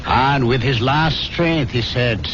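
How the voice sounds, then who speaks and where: An actor playing a solemn, sentimental old Irish barroom storyteller with dramatic delivery in a radio drama. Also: male voice, medium pitch, takes it slowly, background noise, lightly acted. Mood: solemn, mournful. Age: older. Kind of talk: 1970s radio drama acting. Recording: muffled 1970s radio recording, bar crowd behind.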